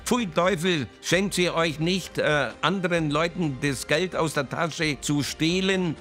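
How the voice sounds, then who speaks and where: A man giving a personal account in an interview, halting and rather formally worded. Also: a little high, a bit bright, soft background music.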